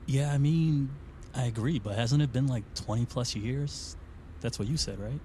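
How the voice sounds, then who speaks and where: A man's voice: mid-range voice, normal speed, warm tone, slight background noise, close to an everyday voice. An actor playing an easygoing, self-deprecating man in his thirties, talking casually and a little sheepishly in an audio drama. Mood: tentative. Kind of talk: naturalistic audio drama acting.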